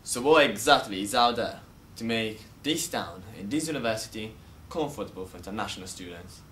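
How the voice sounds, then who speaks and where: A man's voice: on the low side, expressive, brisk, very noisy. A young man presenting a feature in scripted narration. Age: young adult. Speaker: student TV presenter.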